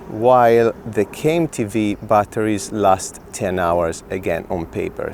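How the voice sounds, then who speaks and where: A man's voice: lowish voice, a little mellow, slight background noise. A man hosting a casual gear review, talking informally and directly to viewers. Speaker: host reviewing film gear. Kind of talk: casual product review chat.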